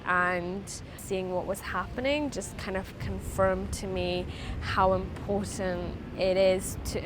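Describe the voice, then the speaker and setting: A woman from Afghanistan whose first language is Farsi, giving earnest, halting interview answers about her life. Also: fairly low pitch, noisy background. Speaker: interviewee, refugee charity founder.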